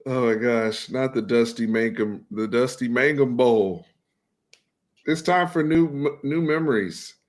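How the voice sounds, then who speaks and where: Animated man hosting a sports talk show. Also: medium pitch. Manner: animated, fast, repeats words. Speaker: host.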